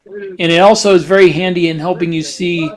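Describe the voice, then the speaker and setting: A man co-hosting a podcast in casual chat, talking haltingly and often restarting his phrases. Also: high voice, fairly even intonation. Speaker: podcast co-host and fiction writer.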